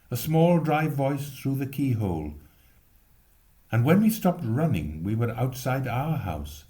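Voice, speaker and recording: A man reading a literary story expressively, with measured, lyrical phrasing. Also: mid-range voice, dark tone. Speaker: reader.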